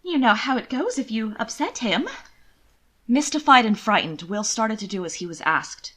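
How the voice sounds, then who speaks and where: A woman narrates fiction in an expressive storytelling style. Her voice sits at a medium pitch and moves widely up and down, shaping each phrase of the scripted prose. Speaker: podfic narrator.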